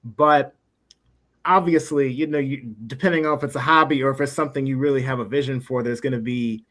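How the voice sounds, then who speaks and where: A man's voice: mid-range voice, steady tone, fairly quick, fairly bright tone. Rambling male interview host. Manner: rambling, circles around questions before landing them. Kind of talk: casual interview chat.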